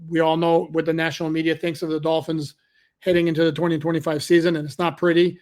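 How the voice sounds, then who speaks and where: Man, higher pitch, flat delivery, podcast host, casual filler words, voice cracking. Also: fast. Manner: conversational, fillers, self-correcting. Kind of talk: solo sports podcast commentary.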